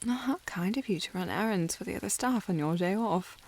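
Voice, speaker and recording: A woman's voice: mid-range voice, animated, a little fast, vocal fry. A woman playing a haughty young upper-class lady in a historical audio roleplay, formal and teasing in manner. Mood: arch, teasing.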